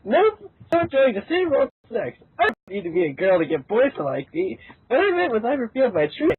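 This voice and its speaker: Husky, very animated man, phone-like audio. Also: extremely high pitch, a little fast. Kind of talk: amateur character reading with casual reactions.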